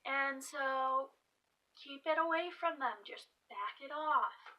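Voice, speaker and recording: A woman vlogger giving casual advice, speaking haltingly with frequent fillers and restarts. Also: sits high, distant mic, dull audio. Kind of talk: casual advice talk to camera.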